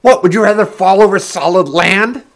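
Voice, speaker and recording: A man voicing a desperate young male story character, shouting back in a strained, frantic way. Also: high voice, normal speed, pitched above the performer's own voice. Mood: urgent shouting.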